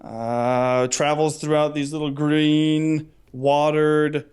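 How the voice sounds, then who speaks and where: Man, slightly high voice, casual comedic banter, playful, mock-grandiose, podcast co-host. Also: flat delivery, takes it slowly, darkish tone, clear, resonant tone.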